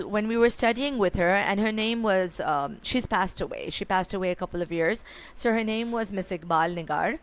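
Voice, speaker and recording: Woman, young adult, smooth clear voice, mid pitch, chatty tutor, fillers. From Pakistan. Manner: conversational, with frequent fillers and rhetorical "right?". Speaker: IELTS speaking tutor. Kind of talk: exam tips lesson with sample answer.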